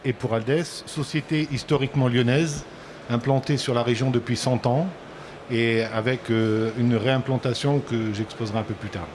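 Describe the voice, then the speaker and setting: A man's voice: mid-range voice, fairly even intonation, normal speed, noisy background. A man speaking as a company representative on a conference panel, restarting with hesitation.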